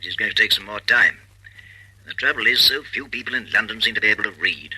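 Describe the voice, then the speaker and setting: A man playing a brisk, wry upper-class English senior official in a vintage radio drama, clipped and avuncular. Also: on the low side, bright, forceful tone, husky, heavily acted, rough recording. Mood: rueful, wry.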